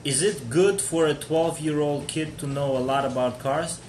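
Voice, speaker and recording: A man's voice: on the high side, steady tone, moderate pace, a little mellow. A man from Georgia hosting a casual live stream chat, chatty and joking as he answers viewers. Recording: echoey room with faint noise.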